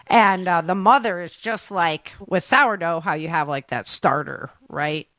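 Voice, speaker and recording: A woman's voice: fairly low pitch, unhurried, a bit bright, dry recording. A woman hosting a casual chat, talking in an informal, slangy and effusive way. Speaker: host.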